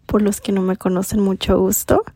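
A woman's voice: medium pitch, level tone, moderate pace, warm tone, slightly rough, no room sound, clean studio sound. A woman in her twenties from Mexico, hosting a podcast and chatting casually with many fillers. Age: 20s. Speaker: podcast host.

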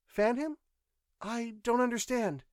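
A man voicing a firm, caring woman nurse in an audio story, explaining and gently admonishing. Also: very high voice, slow, no echo, clean studio sound, pitched above the performer's own voice. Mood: concerned.

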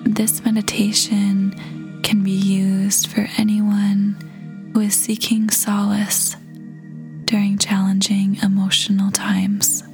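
A woman guides a meditation in a gentle, breathy half-whisper. She speaks very slowly, leaving long pauses, and her pitch barely moves at all. Clear music plays behind her calm voice. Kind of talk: guided meditation, slow soothing narration. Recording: voice over prominent background music.

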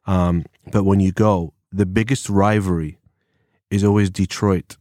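A man's voice: low-pitched, steady tone, measured pace, warm tone, no room sound, clean studio sound. A man with an English accent, a podcast guest who talks in a chatty, wandering way, full of fillers and restarts. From England. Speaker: podcast guest, former police officer. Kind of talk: casual conversation. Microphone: studio microphone.

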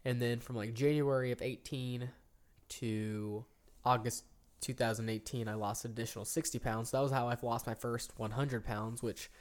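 A man's voice: medium pitch, normal speed. Rambling man in his thirties, podcast host. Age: 30s. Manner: rambling, lots of ums and restarts, animated bursts. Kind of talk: casual chat.

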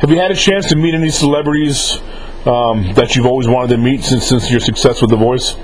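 A man's voice: medium pitch, fairly quick, rough recording. A man hosting a casual interview, informal and rambling, often rephrasing his questions as he goes. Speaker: interviewer relaying fan questions.

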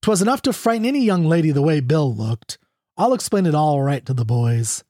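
Reader doing a gentle, gallant young cowboy. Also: medium pitch, animated, a little fast, no echo, studio quality, lightly acted. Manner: gentle, reassuring.

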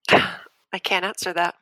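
A woman acting a firm survivor in an audio drama debate, measured and insistent. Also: pushed and bright, dry recording. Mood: firm, defensive. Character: firm abuse survivor debating on a talk show. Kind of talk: fiction podcast debate acting.

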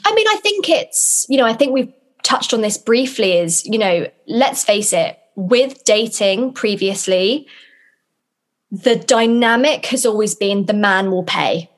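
A woman's voice: on the high side, animated, a little slow. A young British woman co-hosting a podcast, chatting casually and telling stories in a loose, rambling conversational way. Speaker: podcast co-host.

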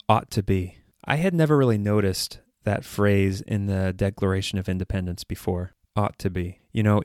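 A man's voice: lowish voice, darkish tone, dry recording, clean studio sound. A man hosting a solo podcast, partly scripted, speaking in an earnest, sincere manner. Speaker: podcast host.

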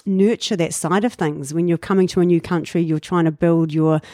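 A woman's voice: on the low side, fairly even intonation, fairly quick, no room sound, studio quality. Reflective New Zealand woman in her fifties. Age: 50s. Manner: reflective, warm storytelling with frequent fillers and laughs. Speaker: guest. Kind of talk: casual interview chat.

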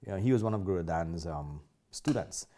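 A man's voice: deep voice, lively intonation, brisk, warm tone. A man in his fifties from Trinidad, with a Trinidadian accent, chatting politely as a guest in an interview. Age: 50s. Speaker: podcast guest, martial artist. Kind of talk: casual interview chat.

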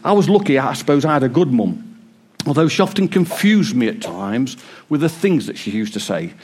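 A man preaching a sermon in church, a bit croaky, with a joking, warm delivery. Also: mid-range voice, big pitch swings, brisk. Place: church hall with congregation. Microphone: wireless mic.

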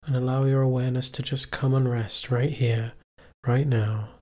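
A man leading a guided meditation as a teacher, giving calm, measured instructions with long pauses between phrases. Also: mid-range voice.

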